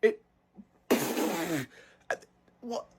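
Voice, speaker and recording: High-pitched Southern man, emphatic and chatty. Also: drawn-out words, husky. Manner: animated, emphatic, repeats phrases for effect. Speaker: host of a pop culture video show. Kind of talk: casual list commentary to viewers.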